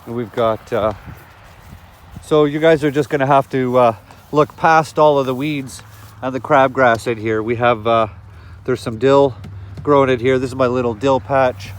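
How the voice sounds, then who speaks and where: A man's voice: mid-range voice, fairly even intonation, normal speed, a little hiss or hum. A man with a sniffly cold, casually walking through his garden in the evening and talking about his plants, with lots of fillers and restarts. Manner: rambling, with frequent fillers and restarts. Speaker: gardening vlogger. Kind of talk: casual walk-through narration. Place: outdoor garden plot in the evening.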